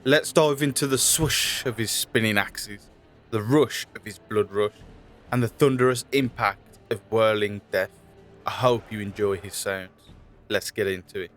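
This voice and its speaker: A man's voice: fairly low pitch, measured pace, fairly bright tone. Man narrating a scripted video over music.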